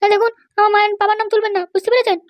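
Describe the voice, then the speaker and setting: A woman voicing a sulky young cartoon wife who accuses her in-laws, complaining in a pitched-up voice. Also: flat delivery, racing, no room sound, highs cut off. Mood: indignant. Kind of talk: comedy cartoon dialogue.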